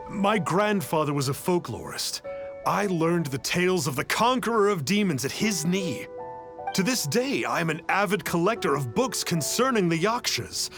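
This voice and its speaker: A man voicing a reverent, earnest reformed trickster who idolizes a guardian, in a video game dub. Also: on the high side. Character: penitent adult man, reformed fake adeptus and superfan.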